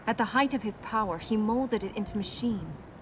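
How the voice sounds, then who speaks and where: Woman acting young princess, video game heroine, medium pitch, solemn, matter-of-fact, resolute, background noise. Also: lightly acted. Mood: grave explaining. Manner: serious, measured, matter-of-fact. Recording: noisy game capture with ambience.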